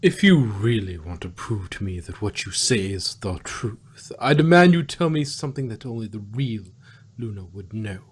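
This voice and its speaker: A man's voice: fairly low pitch, animated, moderate pace, slightly rough. A man playing an indignant, flustered alicorn princess in a fan fiction reading. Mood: demanding. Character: adult alicorn princess, disbelieving, indignant, flustered elder sister.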